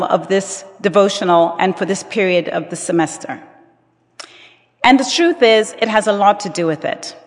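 A woman, giving a formal address as guest speaker with a measured, emphatic delivery. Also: medium pitch. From Zambia. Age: 50s. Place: large auditorium with audience. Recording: reverberant hall.

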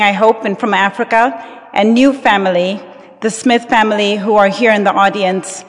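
A woman, giving a formal address as guest speaker with a measured, emphatic delivery. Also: a little high. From Zambia. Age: 50s. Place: large auditorium with audience. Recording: reverberant hall.

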